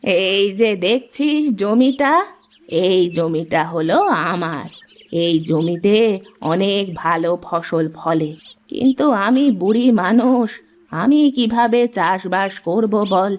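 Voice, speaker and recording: A woman voicing a kindly, motherly old village woman who speaks in a slow, drawn-out way, for a cartoon. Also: medium pitch, lively intonation, lo-fi sound, pitched below the performer's own voice. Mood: gentle, instructing.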